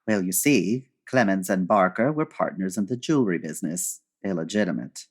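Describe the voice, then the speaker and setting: An actor playing a witty, unflappable gentleman sleuth in a comedy audio drama, talking in a suave, wry, old radio detective manner. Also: low voice, expressive, moderate pace, breathy edge. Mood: calm, explanatory.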